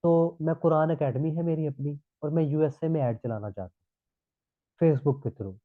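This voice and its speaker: A man's voice: a little high, moderate pace, dry recording. A man from Pakistan with a sore throat, teaching a live online class and checking in with students as he explains. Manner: explanatory, repeats "thik hai" checks. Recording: tinny phone-like line.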